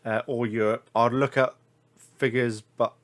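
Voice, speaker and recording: A man's voice: mid-range voice, flat delivery, unhurried. A man with a slightly stuffy, blocked-nose voice talks through deals in loose, unscripted commentary. He rambles and corrects himself often, filling gaps with hesitations. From United Kingdom. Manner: rambling, many fillers and self-corrections. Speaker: figure collector reviewing deals. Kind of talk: casual screen-share commentary.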